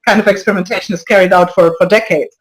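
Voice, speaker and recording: A woman speaking as an interview guest in casual talk, halting, with many restarts and soft laughs. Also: mid-range voice, steady tone, rough recording. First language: not French. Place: lives in Brussels area.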